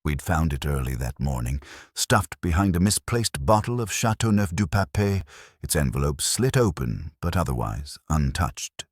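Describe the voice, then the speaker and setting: A man narrating a scripted detective story as an audiobook, in a measured, theatrical noir manner. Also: a bass voice, sing-song, dry recording, clean studio sound.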